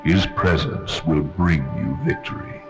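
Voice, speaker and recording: A man's voice: a bass voice, steady tone, a little slow, muffled recording. A man playing a grave, commanding ancient priest who solemnly recites scripture before battle in an old film. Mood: solemn exhortation. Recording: harsh old film soundtrack. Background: music.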